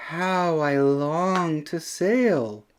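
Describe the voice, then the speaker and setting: Tiny adventurous girl snail for a picture book, performed by a man: very high, slow, earnest and eager. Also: slight background noise. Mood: longing. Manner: earnest, drawn-out exclamations.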